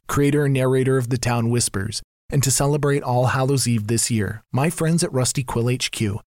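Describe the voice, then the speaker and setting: A man's voice: medium pitch, darkish tone, no echo. A man serves as host and narrator, delivering a prepared introduction. His voice sits in the middle of the male range, and the recording is close and dry, with almost no room sound.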